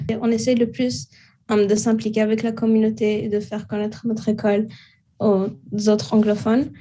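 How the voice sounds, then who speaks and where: A teenage girl, a high school student, speaking in a steady, measured way with occasional fillers. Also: rough recording. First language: French and Arabic household. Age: teens. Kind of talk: spoken testimony.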